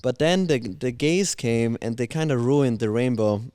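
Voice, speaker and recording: A man's voice: mid-range voice, animated, a little slow, warm tone, smooth, dry recording, clean studio sound. A man from Austria, a podcast guest talking bluntly and opinionatedly in casual chat. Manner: blunt, opinionated, swears freely.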